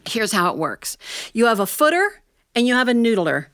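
American lady doing live storytelling, folksy and animated, dry sound, pauses for effect and chats to the listeners. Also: on the high side, clean tone, no room sound. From Ohio, United States.

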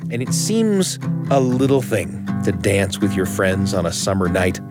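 A man's voice: fairly low pitch, big pitch swings, measured pace, loud music behind the voice. A man hosting, telling a nostalgic personal story in a reflective way. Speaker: host. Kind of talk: storytelling monologue.

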